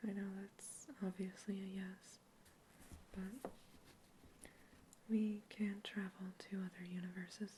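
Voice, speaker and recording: A woman whispering gently through an ASMR roleplay, asking calm questions as an examiner. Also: medium pitch, takes it slowly, long pauses, background noise. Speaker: ASMR artist playing an examiner.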